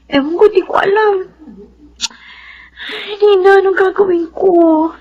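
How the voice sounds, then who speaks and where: An actress playing a young, lovestruck daughter in a Filipino radio drama, earnest and emotional. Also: female voice, extremely high pitch, steady tone, measured pace, muffled recording, a little hiss or hum. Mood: distressed. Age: young adult.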